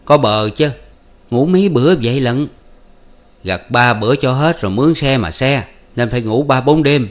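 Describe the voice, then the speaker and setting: A man's voice: mid-range voice, animated, moderate pace, pitched above the performer's own voice, close to an everyday voice. A man voicing an honest, simple peasant farmer who speaks bluntly and plainly. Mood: gentle. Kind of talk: storybook reading.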